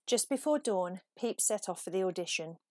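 A woman reading a children's picture book aloud as an expressive, animated storyteller. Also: medium pitch, normal speed, no echo, studio quality. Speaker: storybook reader.

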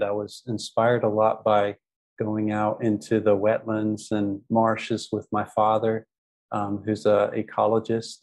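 A man's voice: on the low side, darkish tone. An American man from the United States, a podcast guest who talks casually with many ums and restarts. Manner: friendly, frequent ums and restarts. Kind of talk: casual interview talk.

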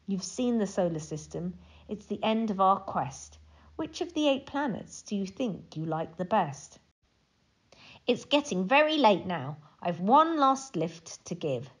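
A woman voicing a tiny, friendly alien tour guide in a children's storybook, speaking in expressive, inviting rhyme. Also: medium pitch, a little slow, slightly rough. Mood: gentle, inviting.

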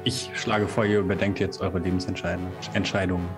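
Low-voiced man playing a tabletop game. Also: level tone, fairly quick. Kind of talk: casual game talk, stating his character's actions. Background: background music.

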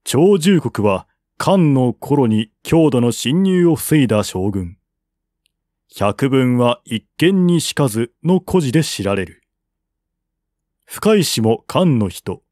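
A man narrates a historical war chronicle in formal, solemn prose. His pace is measured and his pitch sits in the middle. The sound is dry and close, free of room echo. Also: very animated, a little mellow. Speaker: audiobook reader. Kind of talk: scripted narration of a historical novel.

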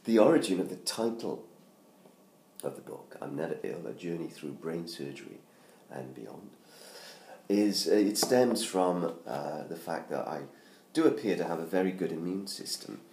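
A middle-aged man from the UK, hoarse from laryngitis, chatting casually with many ums and restarts as he promotes his book from his living room. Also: sits low, animated, normal speed, darkish tone. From United Kingdom. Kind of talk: casual self-made promo video. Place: living room at home by a Christmas tree. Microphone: tablet camera at close range. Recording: roomy home sound with faint noise.

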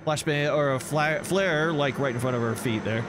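A man's voice: fairly high pitch, moderate pace, noisy background. A man giving casual gameplay commentary, informally thinking aloud as he plays. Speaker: gaming video host. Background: loud game music and sound effects.

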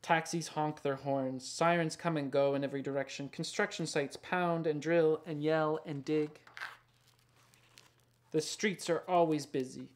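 A man in his thirties reading a picture book aloud in a gentle, unhurried and sometimes hushed way. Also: on the high side, steady tone, a little hiss or hum. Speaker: children's picture book author and illustrator. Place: small indoor studio lined with books and records.

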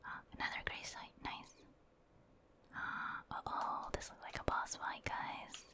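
A woman whispering casual, slangy ASMR commentary as she plays a video game, reacting softly and chatting to viewers. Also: unhurried. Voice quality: soft unvoiced whisper. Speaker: streamer, gaming ASMR creator.

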